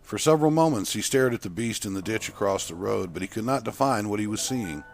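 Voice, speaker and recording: Male narrator, low register, scripted short fiction read, soft music bed. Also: lively intonation, brisk. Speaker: author and narrator. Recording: music behind the voice.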